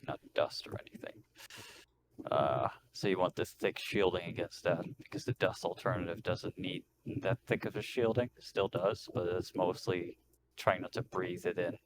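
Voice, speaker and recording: A man's voice: sits low, noisy background. A man explaining technical details in a casual way as a player in a tabletop roleplaying game.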